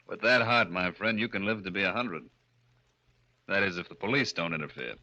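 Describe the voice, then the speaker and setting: An actor playing a calm, wry, matter-of-fact underworld doctor in an old-time radio crime drama. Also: male voice, sits low, measured pace, a little hiss or hum, full character voice. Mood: wry, reassuring. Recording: dull lo-fi old radio sound.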